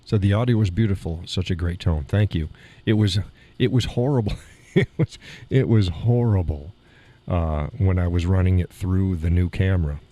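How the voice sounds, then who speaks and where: A man hosting a live stream in casual, rambling chat, with a slight gravel in his voice and chuckles between remarks, recorded in a home studio through a mixer board. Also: deep voice, normal speed, mellow. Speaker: live show host. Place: home studio in a weight room. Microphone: studio mic through mixer board.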